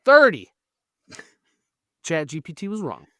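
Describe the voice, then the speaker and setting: A man hosting a casual interview, speaking haltingly as he searches for words. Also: sits high, very animated, bright, forceful tone, no echo. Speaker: podcast host, interviewer.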